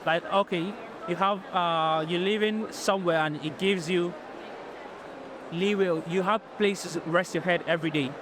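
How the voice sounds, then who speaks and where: A man from Nigeria, giving measured, thoughtful answers in an interview. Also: high voice, steady tone, background noise.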